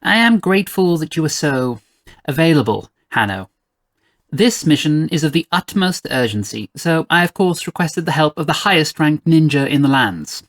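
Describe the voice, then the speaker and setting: A man's voice: a little high, animated, normal speed. A man voicing a haughty, condescending fairy queen in a storybook tale, with an imperious, clipped manner. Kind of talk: acted storybook dialogue.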